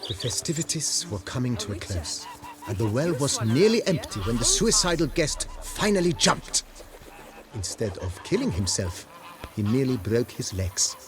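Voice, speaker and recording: An actor playing a courteous, proud majordomo in a video game, giving a guided tour in formal, precise, politely ornate speech. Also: male voice, mid-range voice, very animated, normal speed, a crowd in the background, close to an everyday voice. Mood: storytelling, wistful. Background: music.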